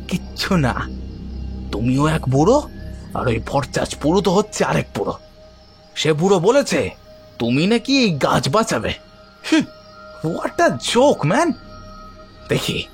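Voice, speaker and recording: A man playing a tipsy young man, mocking and theatrical, in an audio horror drama. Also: fairly high pitch. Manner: theatrical, mocking, drawn-out shouts.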